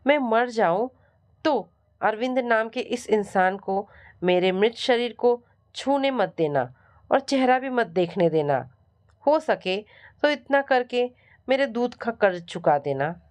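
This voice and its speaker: A woman's voice: fairly high pitch, animated, slow pace, a little mellow, voice pushed up. A woman storyteller voicing a wronged middle-aged wife, anguished, weary and pleading.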